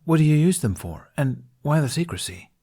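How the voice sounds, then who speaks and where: A man's voice: a little high, very animated, brisk, mellow, voice pushed up. Man voicing a playful, teasing young man. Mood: curious. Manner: cheeky, eager.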